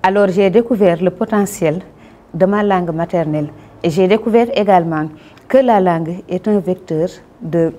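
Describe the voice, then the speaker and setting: A Senegalese woman being interviewed as a guest, speaking French in a measured, formal way with frequent hesitations; her first language is Wolof. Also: fairly low pitch, mellow. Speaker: guest, publisher and Wolof teacher. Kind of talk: interview answers.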